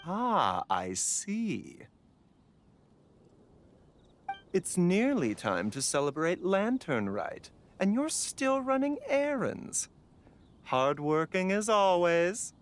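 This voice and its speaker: A man's voice: high voice, huge pitch swings, takes it slowly, faint music behind, exaggerated voice. A man voicing a courteous, genteel herbalist doctor in a video game, with a polite, lilting, theatrical manner. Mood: polite sympathy.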